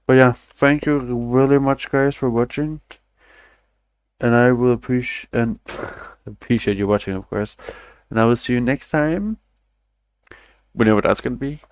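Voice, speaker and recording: A man's voice: medium pitch, measured pace, clear, resonant tone, no echo. A young man, chatting loosely on a stream, rambling and swearing casually with self-deprecating asides. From Denmark. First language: Danish.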